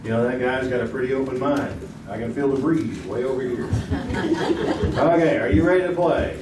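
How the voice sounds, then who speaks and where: A man hosts an old-time radio style quiz with a stream of wisecracks. His voice sits at a middling pitch. It comes from some distance in a roomy, noisy space. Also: normal speed. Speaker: quiz show host. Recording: echoey far-off room with loud noise.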